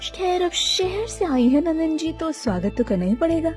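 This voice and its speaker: A woman's voice: very high-pitched, sing-song, normal speed, music in the background. Woman playing a jealous, pointed young village wife. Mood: sarcastic, sour. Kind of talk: cartoon moral-story voice acting.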